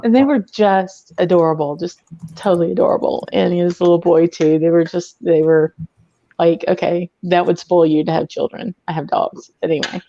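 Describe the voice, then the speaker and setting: A woman with a mixed Southern accent tells stories as a podcast guest, filling pauses with ums and breaking into laughs. Her voice sits low. The sound is dry and a bit muffled. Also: fairly even intonation, mellow, no room sound. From Arkansas, US. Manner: chatty storyteller with frequent ums and laughs. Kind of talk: casual chat.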